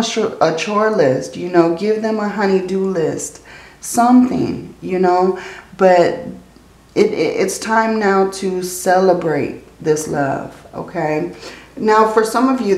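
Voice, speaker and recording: Woman, low voice, slow and soothing, tired strained voice, echoey room. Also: a little mellow. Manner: slow, soothing, often adds "okay?" and "I feel like". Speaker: tarot reader. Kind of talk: casual tarot reading. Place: indoors at a table, hands seen handling cards.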